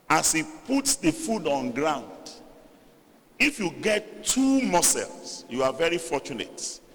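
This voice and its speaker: Nigerian Yoruba man, pastor, emphatic sermon delivery, echoing hall. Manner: emphatic, rising to loud stressed phrases, then dropping low. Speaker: preacher. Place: church hall. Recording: reverberant hall.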